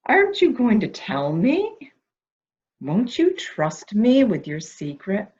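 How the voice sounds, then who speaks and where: A woman's voice: mid-range voice, slow. A woman playing a coaxing, anxious character who humors a madman in a theatrical murder mystery drama, recorded with muffled sound. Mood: coaxing, wary. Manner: soft questioning, flattering, theatrical. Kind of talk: amateur murder mystery audio drama acting.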